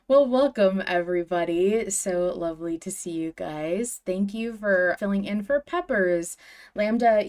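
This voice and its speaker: A woman's voice: fairly low pitch, a little mellow. A woman hosting a podcast in casual chat, with an enthusiastic, emphatic way of talking. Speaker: podcast host.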